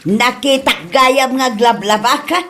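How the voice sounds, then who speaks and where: A woman's voice: fairly high pitch, unhurried, a bit bright. A woman with a Filipino accent playing a nagging, exclaiming older mother-in-law in an Ilocano comedy radio drama. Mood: teasing, prying.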